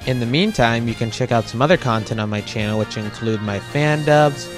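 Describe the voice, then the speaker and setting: Man narrating casually over loud music. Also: medium pitch, brisk. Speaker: host of a top-list video. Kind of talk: casual scripted review narration.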